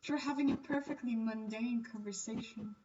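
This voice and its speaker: A woman with a high voice narrates a visual novel on a stream. She reads it aloud like a storyteller. The recording sounds a bit dull and distant, with faint noise. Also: a little fast, crowd noise.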